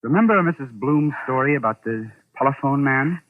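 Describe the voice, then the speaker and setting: An actor playing a shrewd, knowing investigator in a 1940s radio suspense drama, deliberate and authoritative. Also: male voice, mid-range voice, animated, lightly acted. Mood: explaining, ominous. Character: shrewd, commanding man investigating a sinister mystery. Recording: muffled old radio drama recording, phone-like.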